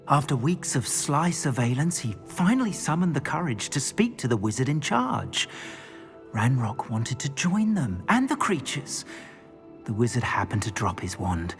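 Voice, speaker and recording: An actor playing an anxious, earnest older wizard, a metal trader in a video game. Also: male voice, mid-range voice. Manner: earnest, expressive, rueful. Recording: game music behind the voice.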